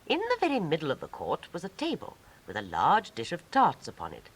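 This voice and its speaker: A woman's voice: sits low, wildly swooping pitch, a little fast, slight background noise. A woman with a British Received Pronunciation accent reading scripted storybook narration in a 1950s style.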